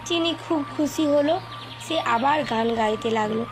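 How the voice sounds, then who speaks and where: A woman narrates a children's cartoon story in a steady, gentle way. Her voice sits fairly high, and clear background music runs underneath the narration. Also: moderate pace. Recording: music playing behind.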